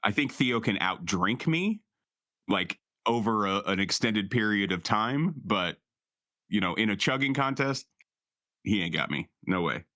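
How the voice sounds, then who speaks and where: Deep, bright-voiced man playing a tabletop game. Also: lively intonation, a little slow, no echo, clean studio sound. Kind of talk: casual in-game chat.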